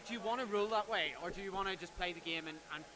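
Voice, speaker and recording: Male organizer, measured explainer, slightly high voice, busy crowd behind. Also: low-quality recording. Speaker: event organizer. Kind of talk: explaining in casual talk. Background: crowd chatter.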